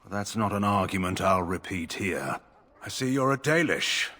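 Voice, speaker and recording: Stern, husky-voiced fantasy general. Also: male voice, deep voice, animated, pushed and bright, exaggerated voice. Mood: measured, proud. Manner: formal, measured, curt. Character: stern, weary, proud middle-aged general and nobleman. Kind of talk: fantasy video game dialogue.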